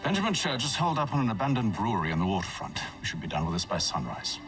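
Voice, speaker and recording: An actor in a video game playing a haughty older Templar leader, dry, formal and condescending. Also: male voice, lowish voice, animated, rapid-fire, a bit bright, recorded from a distance, soft background music. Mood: coolly sardonic. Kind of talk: video game cutscene acting.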